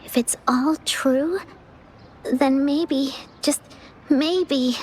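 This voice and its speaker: A woman voicing a timid, wistful young child in an anime-style video game, speaking softly and hesitantly. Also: high voice, drawn-out words, a little hiss or hum. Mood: wistful, sad.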